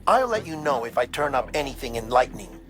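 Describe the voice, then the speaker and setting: An actor playing a thoughtful, articulate researcher in a video game, explaining in a measured, formal and grave way. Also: male voice, medium pitch, sing-song, noisy background, close to an everyday voice. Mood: grave, explanatory. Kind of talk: video game cutscene monologue.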